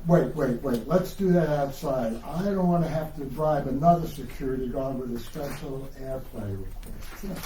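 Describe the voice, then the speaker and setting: Man acting an anxious, mellow-voiced time traveler. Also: medium pitch, moderate pace, lightly acted. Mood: relieved. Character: Pete, anxious, consoling man trying to save history. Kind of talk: dramatic reading of a novel. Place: live reading in a bookstore. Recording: roomy, distant mic, faint noise.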